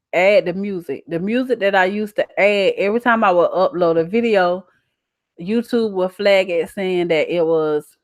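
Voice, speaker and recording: Lower-pitched Southern woman, chatting casually. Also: dry recording, clean studio sound. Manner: folksy, colloquial, emphatic. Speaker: channel host. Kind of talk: casual livestream chat reading out viewer comments.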